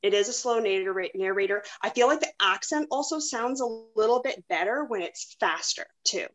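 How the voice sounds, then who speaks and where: A woman's voice: medium pitch, moderate pace. A woman hosting a podcast, chatting casually and introducing clips. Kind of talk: casual chat about audiobooks.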